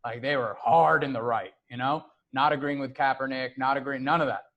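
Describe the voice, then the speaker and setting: A man's voice: mid-range voice, fairly even intonation, a little fast, a bit bright, highs cut off. A man in a casual podcast chat, telling a story in an easygoing way, often dropping "man" and "you know".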